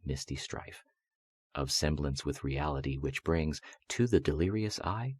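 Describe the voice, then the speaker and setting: A man with an extremely low, husky voice reads gothic verse aloud. His delivery is solemn and measured, with theatrical phrasing suited to bedtime listening. The sound is close and dry. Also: expressive, a little mellow, no room sound. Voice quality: husky, very deep voice. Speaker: voice-over artist reading poetry. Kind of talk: dramatic recitation of gothic poetry for sleep and relaxation.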